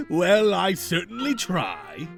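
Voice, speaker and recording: A man voicing a gentle, forgetful older male inventor who chuckles and speaks kindly and sounds puzzled. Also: high-pitched, wildly swooping pitch, drawn-out words, creaky, soft background music, full character voice.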